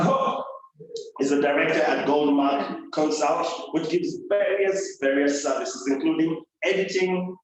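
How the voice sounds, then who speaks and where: Man, live event emcee, playful showman delivery, very reverberant room, distant mic. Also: fairly high pitch. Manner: showman-like, playful, addresses the audience directly. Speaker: master of ceremonies at a speech contest. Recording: very echoey room, distant microphone.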